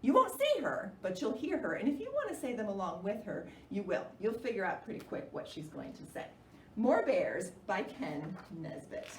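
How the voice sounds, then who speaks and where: A woman hosting storytime for young children, reading aloud in an animated, expressive way. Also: mid-range voice, normal speed. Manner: animated, expressive, with theatrical gasps. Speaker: children's librarian and storytime host. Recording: roomy, distant mic, faint noise.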